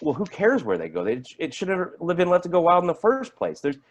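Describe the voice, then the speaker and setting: An edgy, foul-mouthed highway patrolman , acted by a man in a stage drama. He talks quickly and trips over his words, his pitch rising and falling in a lively way as he rants. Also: a little hiss or hum, full character voice. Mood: irritated ranting. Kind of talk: staged play reading.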